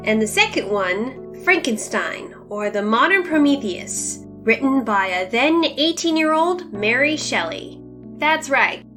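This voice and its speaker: A woman presents a review in a somewhat high-pitched voice. Her delivery sits between script and chat, with emphatic, informal asides. Music plays softly underneath. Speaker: book reviewer, host of a review series. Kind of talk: semi-scripted book review narration, conversational.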